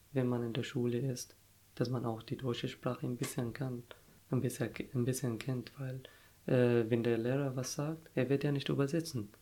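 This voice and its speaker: A young adult man, an Arabic native speaker, talks through his experiences as an interviewee. He speaks haltingly with many fillers, stopping to find the right words. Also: medium pitch, mellow. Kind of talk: casual personal story.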